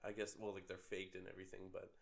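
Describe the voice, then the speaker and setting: A man's voice: deep voice, monotone, fast talker, vocal fry. A young man co-hosting a casual podcast chat, sick with a cold and rambling with many filler words. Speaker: podcast co-host.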